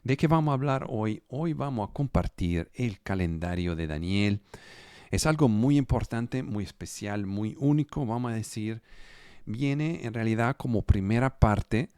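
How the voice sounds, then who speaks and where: A man preaching a sermon as pastor, Spanish not his first language, slow and halting, often repeating words as he searches for phrasing. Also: on the low side, animated, a little mellow, clear, resonant tone, dry recording, studio quality. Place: home studio for a live online service.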